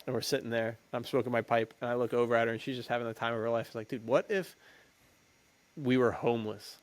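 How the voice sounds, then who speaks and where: A man's voice: mid-range voice, steady tone, fast. A young American man co-hosting a podcast, telling stories in a slangy, jokey, casual way. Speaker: podcast co-host. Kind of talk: casual chat.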